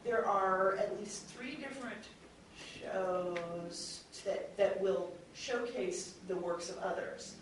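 A woman's voice: mid-range voice, slow, very echoey, distant mic, poor audio. A woman speaks as a chatty panelist, explaining things informally with examples. The room rings heavily around her, and she sits away from the mic with faint noise in the recording. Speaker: audio drama producer on a panel.

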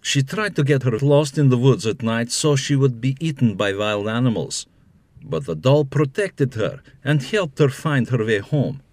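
A man's voice: medium pitch, animated, moderate pace, darkish tone, no echo. Dry-sounding man narrating a tale. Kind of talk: scripted storytelling narration.

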